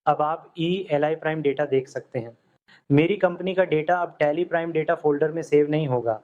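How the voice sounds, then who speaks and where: A man teaching accounting software step by step, in steady instructional tones over a screen recording. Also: fairly high pitch, normal speed. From India. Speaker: software tutor. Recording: dull lo-fi audio.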